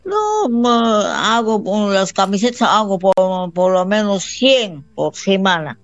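A woman from Bolivia taking part in a call-in conversation, talking in halting, repetitive phrases with affectionate warmth. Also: mid-range voice, lively intonation, dry recording, lo-fi sound. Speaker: caller. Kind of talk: phone-in conversation.

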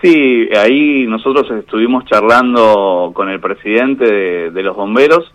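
A man with an Argentine Spanish accent, a boxing referee speaking as a guest over the phone on a radio show, measured and hesitant with many fillers. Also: lowish voice, low-quality recording. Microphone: phone line.

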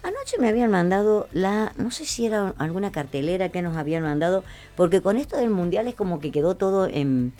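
Animated Argentine woman chatting on radio. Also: mid-range voice, fairly quick. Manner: chatty, colloquial, repeats phrases for emphasis. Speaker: radio host. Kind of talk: casual morning radio chat.

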